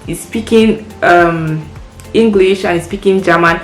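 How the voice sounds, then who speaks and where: A woman's voice: lowish voice, unhurried. Chatty woman, echoey room. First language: Yoruba. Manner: chatty, friendly, practical tips. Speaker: parent vlogger. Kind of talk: casual advice vlog. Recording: echoey room with faint music.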